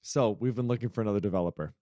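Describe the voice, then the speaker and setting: A man's voice: on the low side, rapid-fire, dark tone, no room sound, studio quality. A man co-hosting a podcast, telling stories in a rambling, self-deprecating way. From United States. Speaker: podcast co-host. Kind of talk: casual chat.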